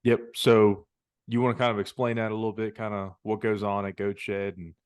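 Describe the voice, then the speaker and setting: A man's voice: lowish voice, level tone, a little fast, clear, resonant tone, dry recording, clean studio sound. A man hosting a fight podcast, asking casual, friendly questions and calling his guest "man". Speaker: podcast host. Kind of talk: casual interview.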